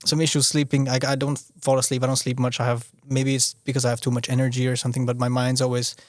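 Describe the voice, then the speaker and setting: A young man who grew up in Austria chats as a guest on a podcast, his speech halting and full of restarts. His voice sits at a middle pitch for a man and is recorded close and dry, with no room sound. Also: completely flat delivery, no room sound. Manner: halting, restarts often, leans on "you know". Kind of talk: casual conversational interview.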